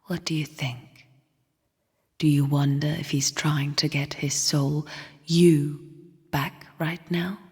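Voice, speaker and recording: Bleak whispering spirit sister, very breathy. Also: female voice, deep voice, level tone, full character voice. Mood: scornful. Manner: slow, hushed, cryptic and scornful. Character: bleak, bitter female spirit sister of a dying void. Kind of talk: video game character monologue. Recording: long reverberant hall echo.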